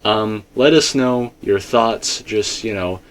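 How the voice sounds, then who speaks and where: A man's voice: on the low side, slow. A man co-hosting a casual, unscripted discussion, talking in a rambling, conversational way full of qualifications. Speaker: co-host of a video game theory discussion.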